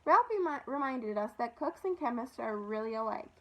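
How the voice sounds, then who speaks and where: High-voiced woman reading a storybook. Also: animated, moderate pace, bright, forceful tone, low-quality recording. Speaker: storybook reader at an environmental learning center. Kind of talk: expressive read-aloud of a children's storybook.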